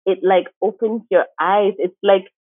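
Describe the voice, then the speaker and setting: A woman's voice: mid-range voice, steady tone, unhurried, no echo. Earnest encouraging woman from st martin, teacher, tinny phone-ish call audio, dry. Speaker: guest, teacher. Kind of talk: conversational interview.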